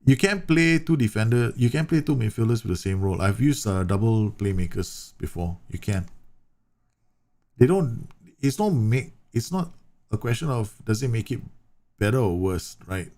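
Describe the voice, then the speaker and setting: A man from Singapore talks through a football management game on a livestream. His voice sits low and carries a gravelly fry. He explains at length, circling back with fillers and self-corrections. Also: animated, normal speed, darkish tone. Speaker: streamer. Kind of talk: live game commentary answering chat.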